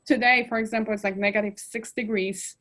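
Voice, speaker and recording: A woman's voice: mid-range voice, a little fast. A woman in her thirties from Poland, speaking English, chatting casually and listing details expressively. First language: Polish. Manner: expressive, lists details, frequent "like" fillers. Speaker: guest. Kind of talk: casual chat.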